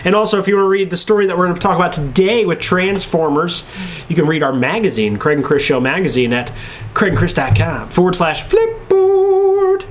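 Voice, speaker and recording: A man's voice: high voice, big pitch swings, a little fast. A man co-hosting an entertainment show in casual back-and-forth banter. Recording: clearly noisy room recording.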